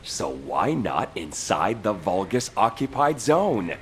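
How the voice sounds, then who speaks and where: A man playing a sardonic, scheming, excitable squad mate in a video game, wry and animated. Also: lowish voice, slow pace, a little hiss or hum.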